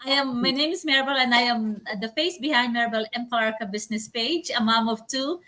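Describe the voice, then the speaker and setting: A woman's voice: fairly high pitch, brisk, sharp tone, low-quality recording. A middle-aged woman co-hosting a livestream, talking in a loose, giggly way. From Philippines. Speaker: livestream co-host. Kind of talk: casual livestream talk.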